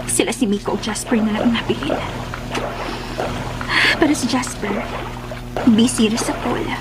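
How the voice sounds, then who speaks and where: A woman's voice: a little high, normal speed, lightly acted, rough recording. A woman playing a scheming, flirtatious woman in a radio drama, coy, theatrical and self-satisfied. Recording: radio drama with loud music underneath. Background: loud music.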